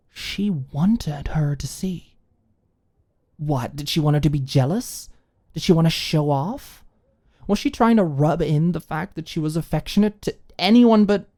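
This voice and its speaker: A woman's voice: low-pitched, measured pace, dark tone. A woman narrating a scripted story in the style of an ASMR audiobook.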